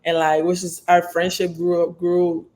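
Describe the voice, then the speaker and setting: A woman's voice: lowish voice, monotone, moderate pace, a little mellow. A young woman from the Dominican Republic with a Dominican accent, Spanish her first language, answering interview questions earnestly with many "like" fillers. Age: young adult. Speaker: college basketball player.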